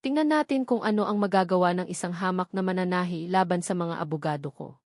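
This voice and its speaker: A woman's voice: lowish voice, fast, a little mellow, no room sound, studio quality, close to an everyday voice. A woman voicing a haughty, scornful rich older mother, the villain of an audio story. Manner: scornful, imperious.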